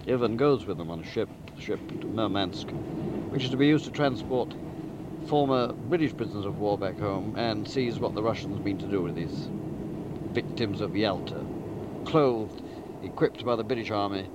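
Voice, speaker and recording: A man giving a deliberate, emphatic and articulate spoken summary of a story, like a novelist outlining a book. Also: lowish voice, lo-fi sound, very noisy. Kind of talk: spoken plot summary, literary talk.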